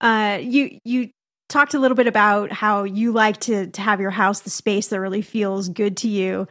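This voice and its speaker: A woman's voice: mid-range voice, steady tone, fairly bright tone. A woman hosting an interview, affirming her guest's points and asking follow-up questions in a casual way. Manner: encouraging, quick to affirm the guest. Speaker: podcast host.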